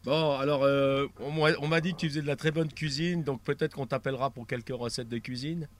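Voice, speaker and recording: A man's voice: mid-range voice, steady tone, a little fast, a little hiss or hum. Give me a friendly male radio host interviewing a caller. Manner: friendly, affirming. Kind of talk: casual interview.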